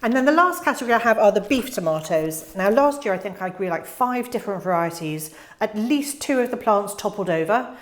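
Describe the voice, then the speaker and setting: A young woman from the UK talks through her seed choices in a relaxed, chatty teaching voice. Her pitch sits in the middle, and she drops in casual fillers and small laughs as she explains. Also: a little fast, hardly any pauses. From United Kingdom. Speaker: gardening channel host. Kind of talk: casual instructional voice-over.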